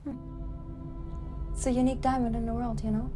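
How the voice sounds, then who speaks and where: A woman's voice: fairly high pitch, little pitch movement, brisk, natural voice. A woman playing a prying, possessive woman with false friendliness in an audio drama, pointed in manner. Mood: probing, veiled threat. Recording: music behind the voice.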